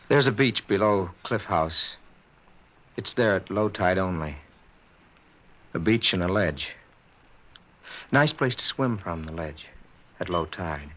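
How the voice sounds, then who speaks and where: A man's voice: low-pitched, fairly bright tone, exaggerated voice. A man playing an evasive, curt widowed husband in a 1940s radio drama, with clipped, guarded, dismissive lines. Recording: noisy old-time radio recording.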